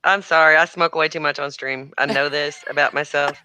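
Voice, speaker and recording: A woman's voice: deep voice, steady tone, fairly quick, sharp tone, no room sound. A woman hosting a casual chat stream, laughing often as she talks. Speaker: streamer host.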